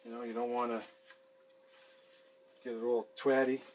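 A man's voice: medium pitch, flat delivery, moderate pace, a little mellow, slight background noise. Swearing man narrating a sink repair. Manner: swears freely, rambling, chuckling to himself. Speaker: handyman fixing a sink. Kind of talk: casual how-to video with running commentary. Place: small basement room with a sink and cabinets. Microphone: fixed camera a few feet away.

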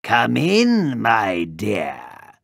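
A storyteller puts on a big bad storybook wolf, growling and snarling his way through each line. He is sly and greedy, drawing words out very slowly with mock sweetness, his pitch swinging freely in a dry, close recording. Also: huge pitch swings, creaky, a bit bright, breathy edge, no echo, heavily acted. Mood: coaxing menace.

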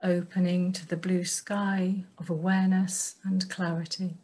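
Husky woman leading a guided meditation. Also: lowish voice, flat delivery. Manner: gentle, unhurried phrasing with long pauses. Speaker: meditation teacher.

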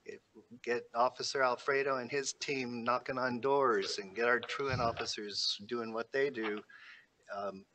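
A man's voice: mid-range voice, fairly even intonation, unhurried, sharp tone, slight background noise, rough recording. A man speaking earnestly at a school board meeting over a video call, walking through figures. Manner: earnest, reasoned, cites figures.

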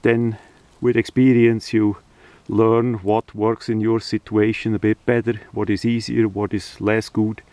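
A man's voice: on the low side, flat delivery, unhurried, warm tone, no room sound. A man who speaks English as a second language, giving a casual hands-on demonstration outdoors with hesitant phrasing. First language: not English (non-native speaker). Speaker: hobbyist video maker. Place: outdoors, beside a tarp on the ground.

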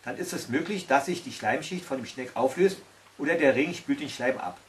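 Man as brisk, helpful storybook butterfly. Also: medium pitch, animated, distant mic, lightly acted. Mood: planning.

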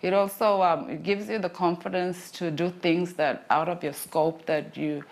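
Soft-spoken woman, lowish voice. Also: normal speed, gravelly. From Torres Strait Islands. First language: Torres Strait Islander language. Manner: calm, soft-spoken, many "um" and "like" fillers. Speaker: health worker. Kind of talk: clinic consultation and interview. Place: small clinic consulting room, indoors.

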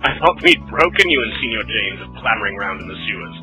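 A man's voice: on the low side, lively intonation, a little fast, pushed and bright, lo-fi sound, faint music behind. A man playing an authoritative, dryly teasing prince in a fantasy audio drama. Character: dry, authoritative prince, teasing but commanding.

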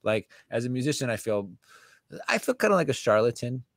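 Scratchy low-voiced guy in his 40s, self-deprecating, dead dry studio mic at home. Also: a little fast, no room sound. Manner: animated, self-deprecating, swears casually. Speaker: musician and author, guest. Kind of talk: candid conversational interview. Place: home room with a studio microphone. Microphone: large studio condenser mic on a stand.

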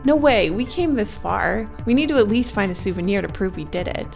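A woman's voice: mid-range voice, expressive, a little fast, creaky, darkish tone, faint music behind. A woman voicing a bold, stubborn teenage girl who talks in a pushy, impatient way. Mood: insistent.

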